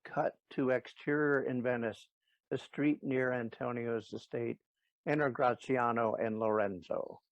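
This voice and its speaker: A man's voice: medium pitch, steady tone, a little slow, dry recording. A man narrating the stage directions of an audio play as scripted narration. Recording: dull, dry lo-fi recording.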